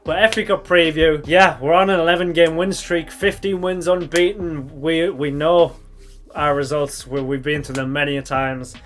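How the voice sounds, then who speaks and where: A young man with a slightly croaky voice from shouting, chatting casually through a football reaction vlog, thinking aloud and correcting himself as he goes. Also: on the high side, fairly even intonation, normal speed. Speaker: football fan channel host.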